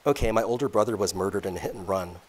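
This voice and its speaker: A man's voice: fairly low pitch, very fast, lightly acted. A man reading aloud the lines of a blunt teenage gamer boy in a story.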